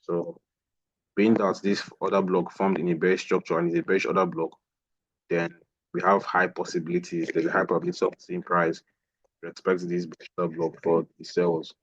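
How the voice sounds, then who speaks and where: A very low, flat-voiced man walks through a trading chart on a live online class, restating terms as he points at candles. His voice comes through a dull, lo-fi stream. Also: brisk, breathy edge. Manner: explanatory, repeats phrases while pointing at a chart. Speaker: trading instructor.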